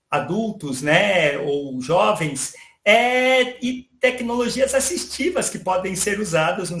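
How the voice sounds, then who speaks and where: A man voicing a friendly virtual young male AI character, explaining calmly to an audience. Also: on the high side, echoey room, slight background noise. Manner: calm explanatory, addresses audience as "pessoal". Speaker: interviewee.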